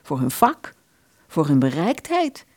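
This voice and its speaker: A woman narrating a literary radio play from a script in an expressive storytelling manner. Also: low voice, slow pace, no room sound, studio quality.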